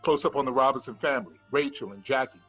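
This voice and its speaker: A man reading scripted screenplay directions as narration, in a husky voice. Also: mid-range voice, fast talker, a bit bright. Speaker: stage directions reader. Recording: dull lo-fi room recording, faint music.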